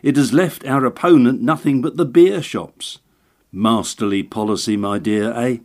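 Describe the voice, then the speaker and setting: A man voicing a fussy, excitable little election agent who confides in everyone as his dear sir. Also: medium pitch, normal speed, lightly acted. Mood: scheming.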